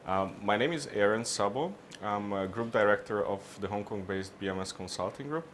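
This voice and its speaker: A man from Hungary, answering business questions in a measured, halting way, often restarting his phrases. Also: on the low side, a bit bright, a little hiss or hum. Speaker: interviewee, business consultant. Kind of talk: on-camera business interview.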